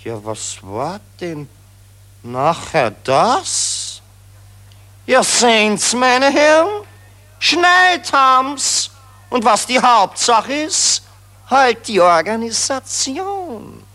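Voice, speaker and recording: A man's voice: very high voice, sing-song, very slow, sharp tone, exaggerated voice. An actor playing a complacent, rambling Austrian general who addresses his officers pompously with an Austrian accent in a satirical radio play. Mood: flustered, admiring.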